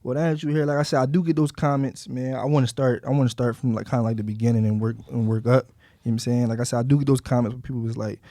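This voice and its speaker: Philly dude hosting an interview, mellow voice, dry close sound, slangy n loose. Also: male voice, medium pitch, steady tone, racing, no room sound. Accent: Philadelphia. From South Philadelphia, US. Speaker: interview show host. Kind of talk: casual interview conversation.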